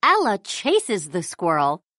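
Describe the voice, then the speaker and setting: A woman's voice: fairly low pitch, wildly swooping pitch, takes it slowly, fairly bright tone, no echo, clean studio sound. A woman narrating a children's read-along storybook, reading carefully word by word for young readers.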